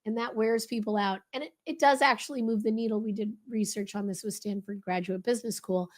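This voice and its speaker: Guest speaker, woman, hoarse and crackly today, brisk coaching delivery. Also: a little high, fairly even intonation. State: hoarse, voice lost. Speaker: leadership consultant guest. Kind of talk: explaining a leadership framework.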